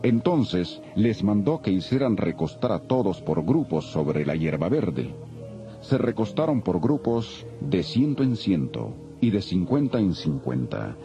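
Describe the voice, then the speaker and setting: Male Bible narrator, low and warm, solemn storytelling; lo-fi with faint music. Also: big pitch swings, unhurried. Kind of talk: dramatized scripted Bible narration. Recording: dull lo-fi audio, faint music.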